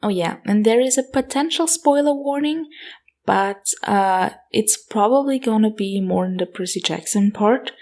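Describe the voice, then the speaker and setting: A woman's voice: mid-range voice, moderate pace, dark tone. A woman in her twenties with an Austrian German accent hosting a casual solo podcast Q&A, chatty with frequent fillers and self-corrections. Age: 20s. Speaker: podcast host.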